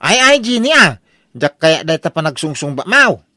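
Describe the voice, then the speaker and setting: A woman's voice: deep voice, very animated, normal speed, bright, forceful tone, no room sound, clean studio sound, exaggerated voice. A woman playing an exasperated householder, scolding and complaining in an Ilocano comedy radio drama.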